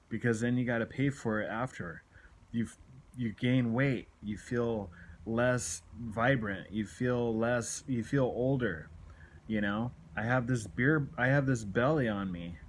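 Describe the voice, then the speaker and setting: A man's voice: mid-range voice, unhurried. Earnest man in his late forties. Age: late 40s. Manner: earnest, repetitive, exhorting. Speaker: self-help video blogger. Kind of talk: candid confessional motivational talk to camera. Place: small room at home, indoors. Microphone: phone at arm's length.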